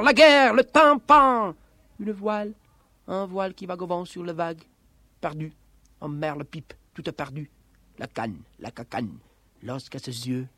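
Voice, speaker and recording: A man with a fairly high voice recites literary text in a theatrical way. He moves between hushed phrases and emphatic ones. The sound is dry and close, with no room around it. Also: gravelly, dry recording.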